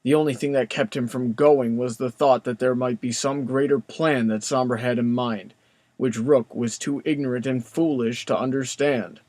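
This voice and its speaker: A man narrates a scripted story in a mid-pitched voice. The recording is dry and close, with no room sound around him. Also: steady tone, moderate pace, a little mellow, no echo.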